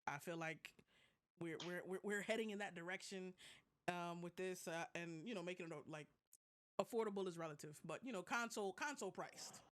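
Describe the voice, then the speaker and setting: A podcast co-host chatting casually and informally about tech, with animated, slangy delivery. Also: mid-range voice, fairly quick. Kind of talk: casual tech and gaming chat.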